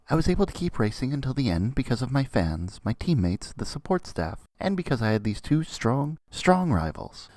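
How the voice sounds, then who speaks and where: A man acts out an unhinged anime racing rival. He is sneering and falsely polite, his pitch very animated, recorded close and dry. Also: no room sound, lightly acted. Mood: mock polite. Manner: mock-polite, erupting into taunts.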